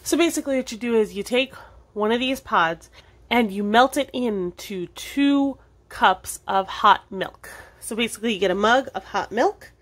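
A woman's voice: fairly high pitch, normal speed. Woman giving a casual cooking demo. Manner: casual, explanatory, talking while working with hands. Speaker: cooking demonstrator, host of a library cooking show. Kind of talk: informal step-by-step cooking tutorial. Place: indoors at a kitchen counter.

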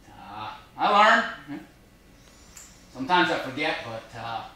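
A man in his thirties on a theater stage, playing a folksy, excitable fisherman with an informal, interjecting manner. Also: mid-range voice, a little slow, heavily acted. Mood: halting, reflective. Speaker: stage actor in a solo show. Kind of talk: theatrical monologue in character. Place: theater stage before a seated audience. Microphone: distant camera. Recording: echoey theater, distant camera microphone.

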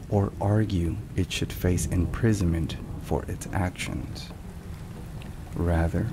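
A man with a low, creaky voice narrates a devotional in ASMR style. He speaks solemnly and very slowly, setting down each phrase with care. Rain and thunder run behind him throughout. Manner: solemn, measured, deliberate phrasing. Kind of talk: ASMR scripted narration, soft-spoken devotional talk. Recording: steady rain and thunderstorm noise.

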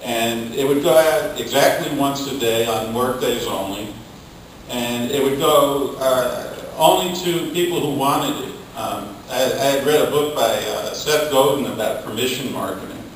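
A middle-aged man giving a measured, halting answer as a panelist at a conference. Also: medium pitch. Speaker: panelist, labor union communicator. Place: hotel conference ballroom, recorded from the audience. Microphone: distant camera picking up the room sound. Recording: distant, very echoey room recording, faint noise.

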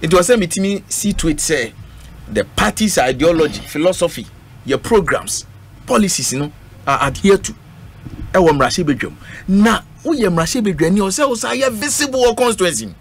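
A Ghanaian man pressing his points at a radio studio microphone during a political panel, his voice a little high, some noise on the live stream. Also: sing-song, normal speed. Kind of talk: political panel discussion, code-switching. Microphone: studio microphone on a stand.

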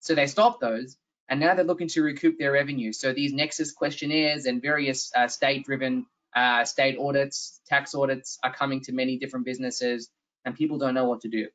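A man's voice: mid-range voice, steady tone, a little fast, a bit bright, far from the mic. A man with an Australian accent presenting a tax webinar over a video call, earnest and explanatory, with frequent "uh"s and restarts. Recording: slightly dull video-call audio.

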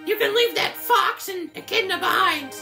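A man's voice: very high-pitched, moderate pace, gravelly. A man voicing a cocky, scheming young bird racer in an improvised comedic game fan dub, taunting and drawn out like a mock villain. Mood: scheming, smug. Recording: game music behind.

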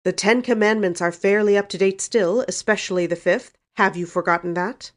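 A woman's voice: mid-range voice, steady tone, moderate pace, voice pushed up, natural voice. A woman voicing a pompous, moralizing older uncle in a storybook, with heavy, reproving delivery. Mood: stern.

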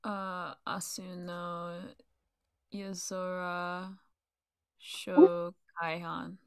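A woman's voice: lowish voice, flat delivery, drawn-out words, clear, resonant tone, no room sound. A woman joining a stream as a guest, chatting casually with short, joking remarks. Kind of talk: casual chat.

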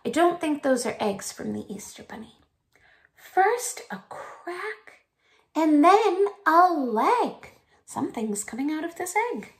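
Woman, thirties, slightly high pitch, slow pace, children's teacher, deliberate instructional delivery, home room. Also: sing-song. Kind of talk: instructional craft video and read-aloud for children. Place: small home room, indoors.

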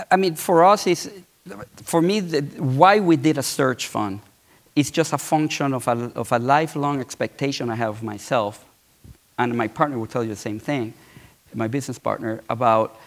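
A man's voice: medium pitch, moderate pace, creaky. A man in his forties from Puerto Rico, speaking as a guest on a conference stage, talking conversationally with many restarts and repeated words. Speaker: guest entrepreneur. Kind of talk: conference fireside chat. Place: large auditorium stage.

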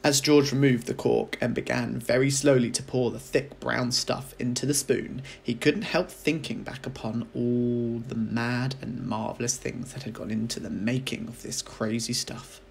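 A man, a teacher reading a children's audiobook aloud as an expressive storyteller. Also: mid-range voice, normal speed, creaky, hardly any pauses, slight background noise.

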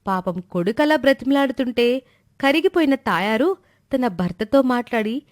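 A woman's voice: on the high side, lively intonation, normal speed, no echo, clean studio sound. A woman narrator reading a scripted moral story in a storytelling style.

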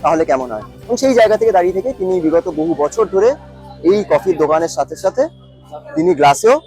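Fast, high-pitched male news reporter. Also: lively intonation, darkish tone, breathy edge, faint music behind.

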